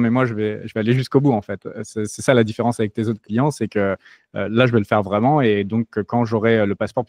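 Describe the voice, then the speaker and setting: A man's voice: mid-range voice, no echo, studio quality. A French-born man as an interview guest, talking casually with many hesitations and restarts. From France. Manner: relaxed, many fillers and restarts.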